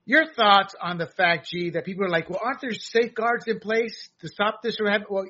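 A man's voice: a little high, pushed and bright, husky, dull audio. A man hosting a political talk show, giving jokey, emphatic commentary and often repeating his words.

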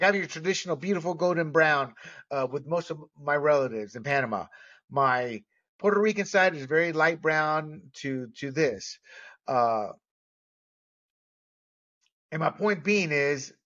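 A middle-aged American man speaks his opinions solo in a fairly high-pitched voice. His delivery is emphatic but halting, with key words drawn out. The recording is somewhat dull and lo-fi. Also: a bit bright. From United States. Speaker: movie commentator. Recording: dull, lo-fi sound.

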